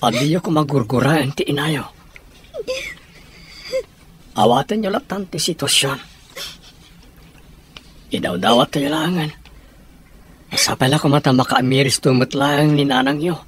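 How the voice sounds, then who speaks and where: A man's voice: a little high, a little slow, long pauses, fairly bright tone, slight background noise. An actor playing a heartbroken middle-aged father in an Ilocano radio drama, speaking emotionally and pleadingly with an Ilocano accent. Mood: sorrowful, tender.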